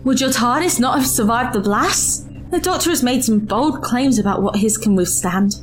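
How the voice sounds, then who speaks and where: A woman's voice: on the high side, normal speed, close to an everyday voice. An actress playing an earnest, principled woman companion in an audio drama, indignant and let down. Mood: troubled. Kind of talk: full-cast audio drama acting.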